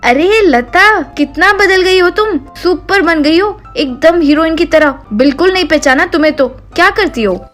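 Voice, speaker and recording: A woman's voice: very high-pitched, a little slow, few pauses, fairly bright tone, soft background music, pitched above the performer's own voice. A woman voicing a weary, worried young working mother in a story. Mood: surprised, admiring.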